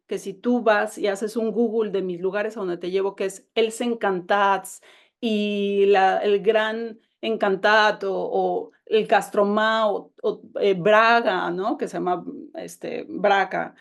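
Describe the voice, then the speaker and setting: A woman with a Mexican Spanish accent talks about her own novel in a relaxed, chatty way. She restarts her sentences often and keeps going with eager energy. Her voice comes through a video call. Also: mid-range voice. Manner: chatty, enthusiastic, many restarts. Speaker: novelist guest. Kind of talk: casual conversation over a call.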